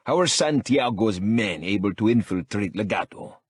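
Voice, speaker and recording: A cold older crime boss growls in a deep, husky voice with a Mexican accent, as the narrator puts him on. He speaks matter-of-factly, every word heavy with menace. The read is dry and close. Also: lively intonation, moderate pace, no echo. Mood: menacing.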